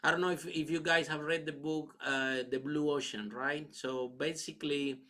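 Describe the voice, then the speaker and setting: Cuban-accented male panelist, bright tone, halting delivery. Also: fairly high pitch, fairly even intonation. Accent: Cuban Spanish. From Cuba. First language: Spanish. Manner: hesitant, with many fillers and restarts. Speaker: panel speaker, public speaking trainer. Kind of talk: answering a question on a panel.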